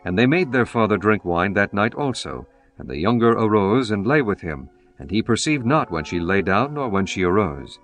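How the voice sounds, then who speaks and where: Male narrator, deepish voice, solemn scripture reading, formal, expressive intonation, music underneath. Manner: formal, measured archaic reading. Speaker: audiobook narrator.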